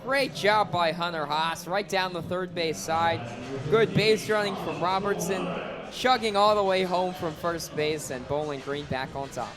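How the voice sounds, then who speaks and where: A man's voice: sits high, unhurried, music in the background. An American man giving live color commentary on a baseball radio broadcast.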